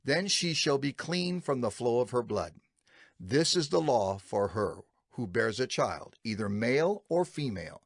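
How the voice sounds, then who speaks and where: A man reading scripture as a scripted audio Bible narrator, solemn and measured, with emphatic phrasing. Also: medium pitch, very animated, no room sound, clean studio sound. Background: background music.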